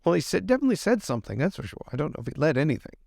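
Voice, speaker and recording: A man's voice: fairly high pitch, big pitch swings, very fast, vocal fry, darkish tone, dry recording, studio quality, full character voice. A man improvising a polite, hesitant, faintly peevish lord in a tabletop roleplay. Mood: mildly put out. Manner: polite, hesitant, passive-aggressive. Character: mild young lord, politely peevish, easily pleased.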